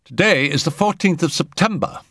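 Man acting a grim, brisk doctor, raised voice. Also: fairly high pitch, big pitch swings, a bit bright, dry recording, studio quality, pitched above the performer's own voice, exaggerated voice. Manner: abrupt, clipped. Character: grim, brisk male doctor, practical and decisive.

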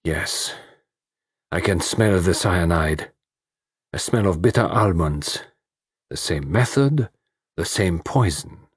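A man voicing a fussy, commanding detective, pompous and precise, in a slightly husky voice. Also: sits low, expressive, slow, pauses often, no room sound, studio quality, exaggerated voice. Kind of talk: audiobook reading.

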